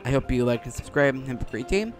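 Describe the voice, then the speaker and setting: A man's voice: medium pitch, expressive, racing. A man hosting a gaming video with casual, rambling commentary, often repeating himself. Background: game music.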